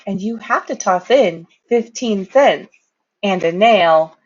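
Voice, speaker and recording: Female storybook reader, expressive and lively in pitch, taking her time, with dramatic delivery. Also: slow pace, muffled recording. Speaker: reader of children's books. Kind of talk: expressive children's storybook read-aloud.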